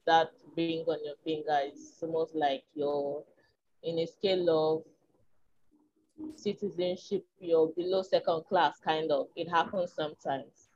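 A Nigerian woman speaks in a small, slightly low voice, candid and reflective, working through her thoughts with soft ums. The recording is a bit muffled and dull at the top end. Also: fairly even intonation, measured pace, a crowd in the background. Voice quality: small, tiny voice. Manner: reflective, frank, with filler ums and soft laughs. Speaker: interview guest. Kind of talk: candid conversational chat.

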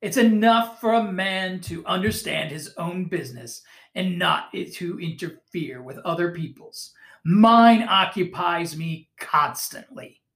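Reader doing a grumpy stingy old man, slow and gravelly, echoey room. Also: mid-range voice, animated, a bit bright. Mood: scornful. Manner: curt, scornful. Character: miserly bitter old man.

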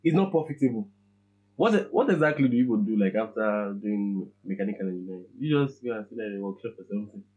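Teasing young podcast host. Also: male voice, lowish voice, animated, fast, phone-quality sound. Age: young adult. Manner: teasing, playful questioning. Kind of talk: casual chat.

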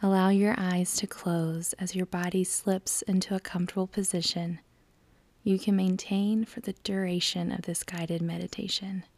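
A woman with a soft, breathy, near-whispered voice leads a guided meditation, calm and soothing, with long measured pauses. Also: lowish voice, dry recording.

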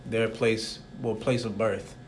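A man's voice: on the low side, little pitch movement, slow pace, slight background noise. A young man hosting a casual interview, talking in a rambling, reflective way. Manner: rambling, reflective, soft-spoken.

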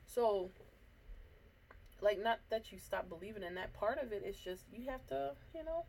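A woman's voice: mid-range voice, expressive, normal speed, gravelly, darkish tone, a little hiss or hum, rough recording. A young woman with a congested, stuffy nose, chatting casually and teasing on a podcast. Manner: teasing, laughs often. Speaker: podcast co-host. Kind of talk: casual chat.

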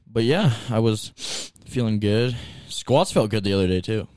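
A man's voice: on the low side, lively intonation, unhurried, a little mellow, no echo, clean studio sound. A young man co-hosting a podcast, talking about the gym in a laid-back way, with lots of filler words. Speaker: podcast co-host. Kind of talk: casual chat.

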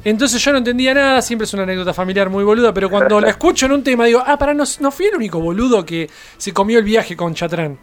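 A man's voice: sits high, fast, few pauses. A man with a Rioplatense Argentine accent in casual radio chat, telling anecdotes in slangy, repetitive phrasing. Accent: Rioplatense Argentine Spanish. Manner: colloquial, slangy, repeats words. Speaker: radio show panelist.

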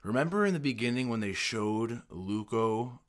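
A man's voice: medium pitch, expressive, moderate pace. A man co-hosting a casual podcast, teasing and ribbing the others. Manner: teasing, ribbing his co-hosts. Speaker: podcast co-host. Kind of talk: casual chat.

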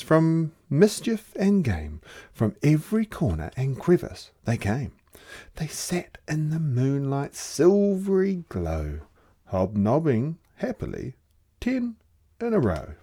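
A storyteller reading a rhyming children's picture book aloud in an expressive, theatrical way. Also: mid-range voice, takes it slowly, breathy edge. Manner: theatrical, dramatic delivery.

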